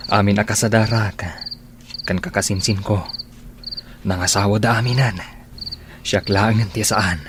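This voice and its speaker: A man's voice: fairly low pitch, fairly even intonation, unhurried, long pauses, background noise, close to an everyday voice. A man playing an earnest, meek middle-aged bachelor in a radio drama.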